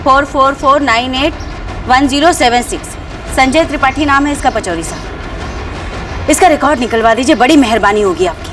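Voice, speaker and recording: A woman's voice: high-pitched, normal speed, natural voice. An actress playing a cold, commanding woman who runs a trafficking ring in a TV crime drama, giving orders with authority. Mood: controlled, steely. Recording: distant mic under loud background music.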